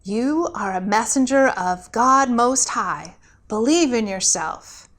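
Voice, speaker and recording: Storybook senior angel, played by a woman: high-pitched, emphatic, firmly encouraging coach. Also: animated, slow, fairly bright tone. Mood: solemn. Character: senior angel Gabriel, firm encouraging mentor.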